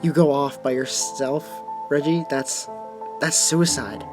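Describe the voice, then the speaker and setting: A man's voice: on the high side, steady tone, slow pace, over music. A man playing an earnest, uneasy teenage boy, a conscientious friend, in a radio drama. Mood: pleading.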